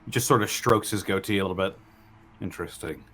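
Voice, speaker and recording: A man's voice: low-pitched, fast talker, pushed and bright. A man talking casually as a player in a tabletop role-playing game.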